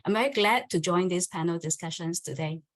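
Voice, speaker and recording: Lowish-voiced woman executive, formal and prepared on a panel call. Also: a little fast. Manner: formal, prepared self-introduction. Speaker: panelist, general manager. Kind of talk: online panel discussion.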